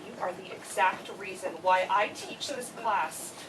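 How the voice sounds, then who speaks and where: A woman's voice: medium pitch, unhurried, natural voice. A woman playing a quirky, overenthusiastic teacher with an effusive manner in a live play reading. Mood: upbeat. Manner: effusive, gushing. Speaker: actor in a staged play reading. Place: read before a live audience, far camera. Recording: noisy, distant room recording.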